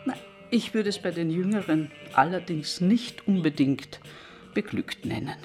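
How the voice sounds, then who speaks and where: Slow, dry Austrian gossip. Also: female voice, on the low side, expressive, a little mellow, soft background music. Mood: dry, gossipy. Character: dry, knowing gossip passing on scandal. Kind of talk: naturalistic radio drama dialogue.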